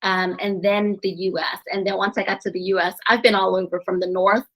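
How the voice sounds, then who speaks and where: A woman guest on a podcast, telling her life story in a chatty, animated way and laughing often. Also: mid-range voice, fairly quick, poor audio. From grew up in New Orleans, USA. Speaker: podcast guest, eye doctor and real estate investor. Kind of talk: casual interview storytelling.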